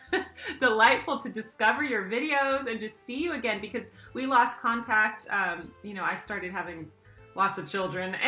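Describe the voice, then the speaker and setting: A woman's voice: medium pitch, pushed and bright, echoey room. A woman hosting an interview over a video call, effusive and laughing often. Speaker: host.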